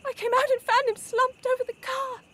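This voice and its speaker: Shrill, distraught, frightened woman. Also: little pitch movement, brisk, bright, forceful tone, a little hiss or hum. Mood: shaken. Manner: gasping, tearful, breathless delivery. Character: distraught, frightened woman who found a body. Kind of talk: old-time radio horror acting.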